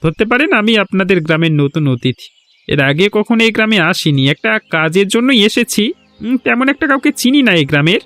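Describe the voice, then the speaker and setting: A man voicing a bold visitor to a village in a cartoon folk tale. He has an unusually high voice for a man and talks with cheeky confidence, over faint music. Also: lively intonation, normal speed, a bit bright. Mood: friendly, coaxing. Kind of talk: Bengali animated folk-tale dialogue.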